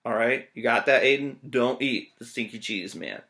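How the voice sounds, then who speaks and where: A man reading a children's story aloud as a teacher, expressive and full of playful joking asides. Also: medium pitch, moderate pace.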